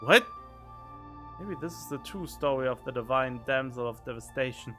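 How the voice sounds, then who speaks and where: A man's voice: medium pitch, expressive, normal speed, sharp tone, faint music behind. A man giving casual let's play commentary as a gaming streamer.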